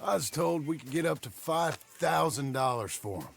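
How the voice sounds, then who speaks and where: An actor playing a gruff, wary American outlaw gunman in a western video game, with a gravelly voice. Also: on the high side, lively intonation, moderate pace, a little hiss or hum. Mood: wary, dry. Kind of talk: dramatic video game acting.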